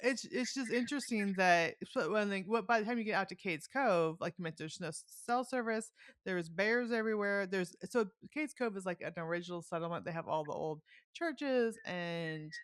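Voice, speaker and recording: A woman's voice: mid-range voice, animated, moderate pace, no room sound, studio quality. A woman hosting a podcast, chatting casually and enthusiastically in an informal way with lots of "like". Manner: chatty, informal, peppers talk with "like". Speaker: podcast host interviewing an author. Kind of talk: casual enthusiastic book chat.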